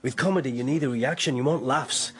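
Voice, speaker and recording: A man's voice: medium pitch, a little fast, lightly acted. A man playing a self-satisfied television star in a radio comedy drama. His mid-range voice is blunt and matey, with a cocky ego showing through. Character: cocky male TV cop-show star with big ego.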